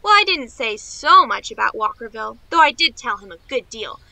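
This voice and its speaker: A woman's voice: on the high side, big pitch swings, brisk, creaky, pushed and bright, no room sound, full character voice. A woman playing a naive, eager young niece in a radio play, chattering on in rambling run-on sentences. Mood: eager, chatty.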